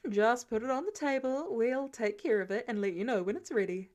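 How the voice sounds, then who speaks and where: A woman's voice: on the high side, fairly quick, darkish tone, close to an everyday voice. A woman voicing a casual, wry male boss and inventor in a story reading. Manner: casual, teasing, glib.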